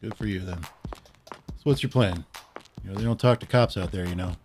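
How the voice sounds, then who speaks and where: A man's voice: sits low, fairly quick, darkish tone, a little hiss or hum, lightly acted. A man playing an easygoing, slightly hesitant police colleague in a comic audio drama. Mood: friendly, casual. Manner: casual, hesitant.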